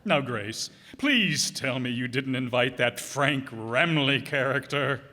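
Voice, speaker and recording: Man acting a slow, sharp, sarcastic husband. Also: mid-range voice. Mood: exasperated, sarcastic. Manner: sarcastic, grumbling. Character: exasperated, sarcastic businessman husband. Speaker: radio player. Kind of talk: stage re-creation of old radio comedy. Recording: reverberant hall, live stage performance.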